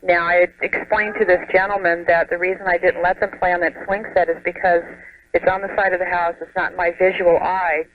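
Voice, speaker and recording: A woman's voice: lowish voice, little pitch movement, a little fast. American female radio caller, earnest and explanatory, muffled telephone sound. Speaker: caller, home daycare operator. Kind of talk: casual phone-in explanation. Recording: muffled phone line on radio.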